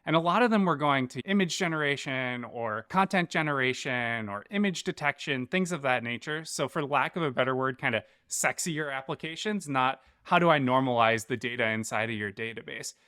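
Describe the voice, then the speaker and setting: A man's voice: mid-range voice, brisk, hardly any pauses, bright, forceful tone, clean tone, no echo, clean studio sound. A man speaking as a startup co-founder and interview guest, explaining his work in conversational business talk.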